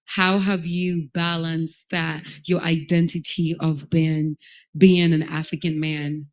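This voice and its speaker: A woman's voice: low-pitched, little pitch movement, slow pace. A woman from Ghana moderating a panel, speaking in a reflective, conversational way with frequent fillers.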